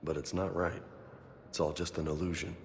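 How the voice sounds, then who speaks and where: Deep-voiced streetwise space marine. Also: male voice, level tone, moderate pace, a little hiss or hum. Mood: uneasy, brooding. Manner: blunt, informal street slang. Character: gruff, streetwise young male space marine, cynical but loyal. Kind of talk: video game voice acting.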